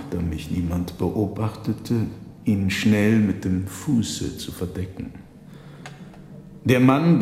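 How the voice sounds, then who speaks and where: An actor playing a resigned, candid prisoner, a conjurer speaking formally and deferentially in a German radio drama. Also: male voice, on the low side, a little mellow. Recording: echoey hall, audible background noise.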